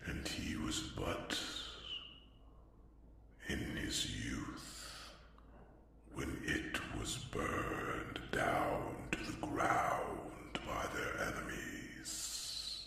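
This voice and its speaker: A man playing a solemn, gentle fantasy mentor in an ASMR roleplay, speaking in a hushed, breathy whisper with measured pauses. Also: very deep voice, expressive, exaggerated voice. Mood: somber, reflective. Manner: slow, hushed, measured, with pondering hums. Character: solemn, gentle wise mentor counselling a young friend in dragon fantasy. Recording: echoey, distant, noisy with long reverb.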